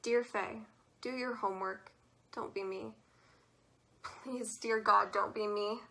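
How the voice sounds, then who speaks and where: A depressed, wry teenage girl acted by a woman delivers regretful letter monologues in a play. Her mid-pitched voice has a creaky edge and sits in a noticeably echoey space. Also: fairly even intonation, slow pace, pushed and bright, faint music behind, heavily acted. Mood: wistful, regretful. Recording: roomy echoing sound.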